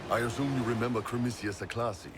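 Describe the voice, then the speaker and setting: A man's voice: mid-range voice, fairly even intonation, fast, noisy background, lightly acted. A man playing a big, hearty horned mercenary chief in a video game, jovial and casually commanding. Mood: jovial, welcoming. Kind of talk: video game character acting.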